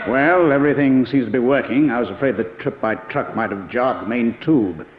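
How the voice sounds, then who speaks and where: A man's voice: mid-range voice, moderate pace, lightly acted. A man acting in a 1950s radio drama as a driven scientist professor, urgent, declamatory and commanding. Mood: determined. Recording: dull, faintly noisy vintage radio recording.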